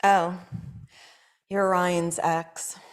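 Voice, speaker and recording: A woman's voice: fairly low pitch, little pitch movement, very slow. An actress at a table read playing an awkward, hesitant young woman who writes scripts.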